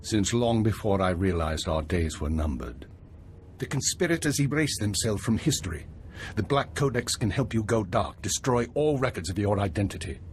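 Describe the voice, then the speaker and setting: Actor as low-voiced, weary spymaster. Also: male voice, lively intonation, a little hiss or hum, natural voice. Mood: grave, candid. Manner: measured, formal. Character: weary, principled male intelligence minister, older spymaster. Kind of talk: scripted video game dialogue.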